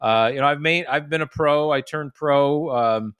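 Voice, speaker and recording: A man's voice: on the high side, normal speed, fairly bright tone, clean tone, no room sound. A man in his forties from the United States, a golf professional telling stories as a podcast guest, with lots of restarts and fillers. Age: 40s. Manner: chatty storyteller with frequent restarts and fillers. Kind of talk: casual podcast interview.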